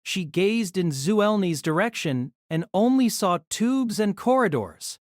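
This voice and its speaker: A woman's voice: mid-range voice, animated, measured pace, a little mellow, no room sound, clean studio sound. A woman narrating a scripted novel as a dramatic storyteller.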